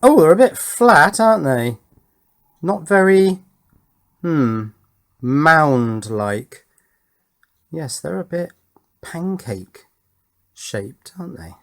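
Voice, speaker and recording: A man's voice: fairly high pitch, big pitch swings, slow pace, vocal fry, pauses often, a bit bright. Chatty middle-aged man thinking aloud. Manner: chatty, thinking aloud, asking himself tag questions. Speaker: electronics hobbyist presenter. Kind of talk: casual running commentary on a hands-on build.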